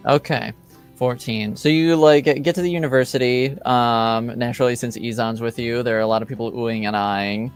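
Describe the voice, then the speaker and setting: Guy game mastering a ttrpg, clean smooth voice, halting as he thinks it up, faint music under. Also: medium pitch, steady tone. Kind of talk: improvised narration of a tabletop game.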